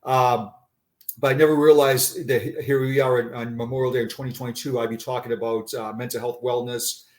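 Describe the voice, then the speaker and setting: A man's voice: medium pitch, flat delivery, fairly quick. An older man with a Boston accent, a retired police sergeant talking as a guest in an unscripted interview, earnest and often repeating and restarting his words. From Boston area, USA.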